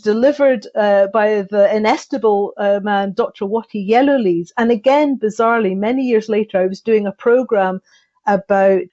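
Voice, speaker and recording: A Scottish woman recounting her life story as a podcast guest. She talks in a lively, anecdotal way, often restarting her sentences. The sound is a bit dull and lo-fi, and her voice sits at a middling pitch. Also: normal speed. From Scotland. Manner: animated anecdotal telling with frequent restarts and laughter. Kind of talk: personal storytelling in an interview.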